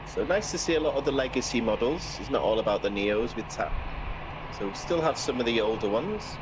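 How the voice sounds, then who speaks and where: Welsh man commentating over clear background noise. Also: medium pitch, expressive, brisk. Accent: Welsh with northern English influence. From Wales. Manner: chatty, friendly greetings to viewers. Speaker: live stream commentator and host. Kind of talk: casual live aviation commentary.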